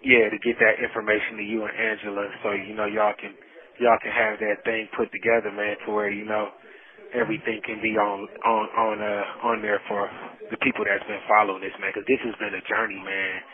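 A man's voice: lowish voice, level tone, brisk. A man giving heartfelt, faith-filled personal testimony in casual vernacular over a prison phone call, repeating himself for emphasis. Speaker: incarcerated storyteller. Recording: muffled, band-limited prison phone call.

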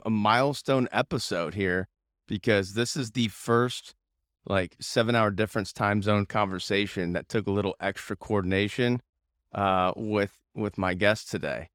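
An upbeat man with a fairly low, clean voice hosts a casual interview podcast. He sounds informal and enthusiastic, and the recording is close and dry. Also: a bit bright, no room sound. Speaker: podcast host.

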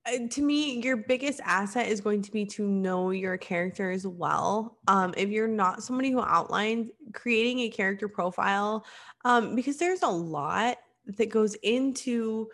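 A woman's voice: medium pitch, normal speed, fairly bright tone. A writer in her thirties from the American Midwest, talking candidly in a casual chat. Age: 30s. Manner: candid, chatty, laughing asides.

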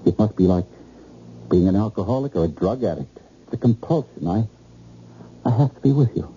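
An obsessed American married man acted by a male actor in a vintage radio play, nervous and repetitive. His dark, low-leaning voice sweeps through pitches on a muffled old recording. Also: lively intonation, slight background noise, close to an everyday voice. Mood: feverish, compelled. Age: middle-aged. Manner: halting, repetitive, intense. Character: obsessed, nervous, helplessly infatuated middle-aged married man. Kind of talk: old-time radio drama acting.

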